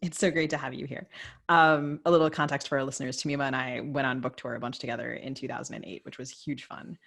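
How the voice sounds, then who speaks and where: A woman's voice: very deep voice, fairly even intonation, fast, gravelly, a bit bright. A woman speaks as the co-host of a literary podcast. She makes introductions and chats with guests over a remote video call.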